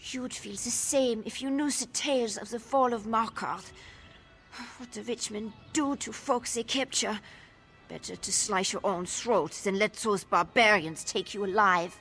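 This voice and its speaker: A woman's voice: medium pitch, unhurried, fairly bright tone, soft background music. A woman playing a wary, downtrodden young Nord informant in a video game, telling things in a guarded, world-weary way. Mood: distrustful, anxious. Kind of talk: video game dialogue acting.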